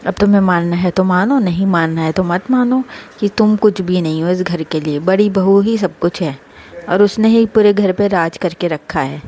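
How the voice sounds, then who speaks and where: A woman's voice: lowish voice, moderate pace, warm tone, close to an everyday voice. A woman voicing a spiteful, defiant gossiping neighbour in a family story. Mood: insinuating. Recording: clear background noise.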